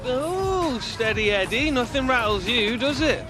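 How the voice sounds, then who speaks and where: A man's voice: very high-pitched, animated, measured pace, over music. An actor playing a cheeky young footballer who teases his best mate with a British accent in a game cutscene. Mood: teasing. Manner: mocking, playful banter. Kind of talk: video game cutscene acting, bantering.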